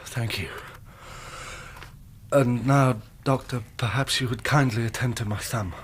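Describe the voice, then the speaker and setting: An actor with an English accent playing an injured, overwrought but polite engineer in a period radio drama, formal and excitable. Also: male voice, medium pitch, unhurried, slight background noise, lightly acted. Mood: shaken, restrained.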